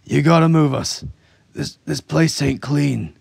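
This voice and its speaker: A man's voice: fairly high pitch, fast, gravelly, darkish tone, dry recording, voice pushed up. A man voicing an uneasy, stubborn common soldier who talks bluntly and in slang, in an audiobook story. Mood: anxious. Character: rough, uneasy male trooper, stubborn and plain-spoken.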